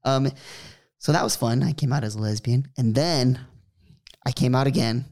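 A young man co-hosting a podcast, talking in a loose, rambling way. He keeps restarting his sentences and piles on fillers as he tells a personal story. His pitch sits in an ordinary middle range. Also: normal speed, a little mellow. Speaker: podcast co-host. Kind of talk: casual chat.

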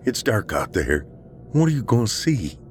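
A man's voice: fairly low pitch, big pitch swings, normal speed, a little hiss or hum, pitched above the performer's own voice. A man playing a distraught, paranoid friend in a horror story, speaking in an intense, insistent and pleading way. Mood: bitter, reserved. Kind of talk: audiobook character dialogue, horror story.

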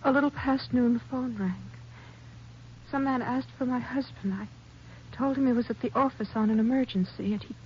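Lady playing a polite fragile housewife in an old radio drama, highish voice, hesitant, emotional, muffled recording. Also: fairly even intonation, moderate pace, a little hiss or hum, close to an everyday voice. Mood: stunned, shaken. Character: polite, fragile doctor's wife and mother. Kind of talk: 1950s radio melodrama acting.

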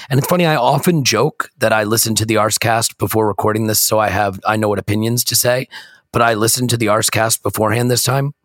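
Male podcast host, American, hoarse croaky voice, dry close mic, self-deprecating chat. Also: lowish voice, fairly bright tone, no room sound. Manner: self-deprecating, rambling with restarts. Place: hotel room.

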